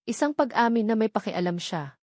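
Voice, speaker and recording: A woman's voice: fairly high pitch, animated, a little fast, a little mellow, dry recording. Dry, dramatic woman storyteller. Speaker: narrator of romance audio dramas.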